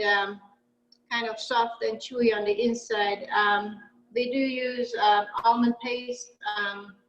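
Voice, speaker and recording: A woman's voice: a little high, a bit bright. Hesitant woman baker, echoey room. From Hungary. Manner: hesitant, many fillers. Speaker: baker presenting her pastries. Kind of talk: casual product presentation.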